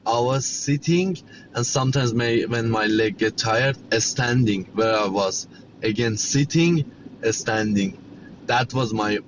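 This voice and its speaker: Man, Persian accent, native Persian speaker, halting repetitive English, muffled audio, noise behind. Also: medium pitch. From Iran. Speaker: guest, chef and cafe owner. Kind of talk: interview storytelling.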